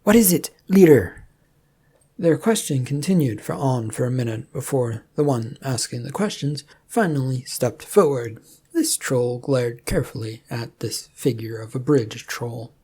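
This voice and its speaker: A woman's voice: a bass voice, moderate pace, dark tone, breathy edge. A woman narrating a scripted fantasy story.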